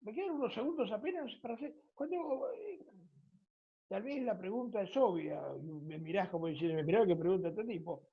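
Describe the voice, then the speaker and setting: A man's voice: high voice, animated, a little fast, slightly rough, phone-quality sound. A man with an Argentine accent hosting a conversational radio programme, speaking in an informal, affectionate way. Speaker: radio host and interviewer. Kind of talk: conversational radio interview with closing book recommendation.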